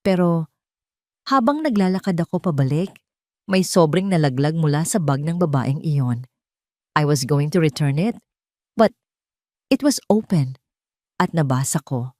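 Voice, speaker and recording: A cold, scheming ex-wife from a romance audio drama, acted out by a woman narrator. She speaks softly and sweetly with menace underneath, in a low, mellow voice. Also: lively intonation, a little slow, long pauses, no room sound, clean studio sound. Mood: feigned concern. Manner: sweet, soft, with veiled menace.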